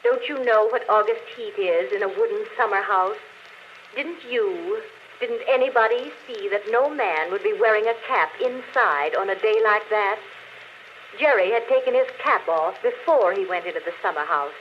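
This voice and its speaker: An actress playing a dead woman who confesses a murder on a phonograph record, speaking slowly in 1940s radio style. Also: medium pitch, close to an everyday voice. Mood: coolly confessing. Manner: calm, deliberate confession, later frightened. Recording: dull old radio broadcast with faint hiss.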